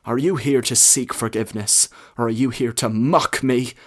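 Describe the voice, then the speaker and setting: A man voicing a world-weary, stern parish priest in a story, with a measured, solemn, admonishing manner. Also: mid-range voice, steady tone, natural voice. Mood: harsh anger. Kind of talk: short story character reading.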